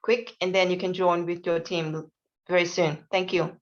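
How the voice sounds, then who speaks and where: A woman's voice: on the low side, level tone, moderate pace. A woman teaching an online class, politely calling on students to speak, with many fillers. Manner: patient, polite, hesitant with fillers. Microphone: video call. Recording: dull lo-fi video call audio.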